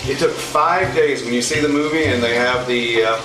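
A man's voice: medium pitch, normal speed, recorded from a distance. A man in his fifties hosting a casual cooking demonstration in a commercial kitchen, chatting and joking as he cooks. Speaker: cooking show host. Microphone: far camera. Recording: roomy kitchen from off-mic.

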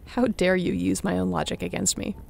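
A woman playing a young apocalypse survivor, a tender, teasing companion in an intimate audio roleplay, with a creaky voice. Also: on the low side, flat delivery, darkish tone, a little hiss or hum. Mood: relaxed, caring. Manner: unhurried, intimate, playful.

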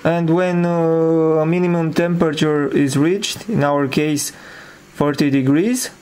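A man with a slightly high-pitched voice presents a hands-on project demonstration. He speaks slowly and informally, pausing hesitantly and piecing his phrases together as he goes. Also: fairly even intonation, darkish tone, a little hiss or hum.

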